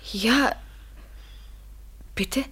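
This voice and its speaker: An actress in a German radio play as a young woman consumed by desperate love, speaking slowly and intensely in a breathy near-whisper. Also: a bit bright. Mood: expectant, nervous joy. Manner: slow, halting, intense, poetic delivery. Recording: 1970s radio drama studio recording.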